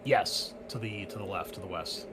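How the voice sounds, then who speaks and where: A man acting as host and game master of a tabletop roleplaying game, explaining the scene in a relaxed way with many fillers. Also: fairly low pitch, normal speed, background noise. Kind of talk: running a tabletop game session.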